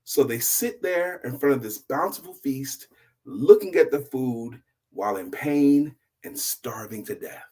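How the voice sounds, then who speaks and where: A middle-aged man telling a story as host, in a husky voice, emphatic and deliberate, with dramatic pauses and hushed drops. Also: mid-range voice, expressive.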